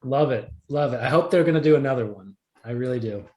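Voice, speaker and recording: A man's voice: mid-range voice, fast, a little mellow. Enthusiastic man chatting in an interview. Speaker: podcast guest, audio drama writer. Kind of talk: casual interview chat.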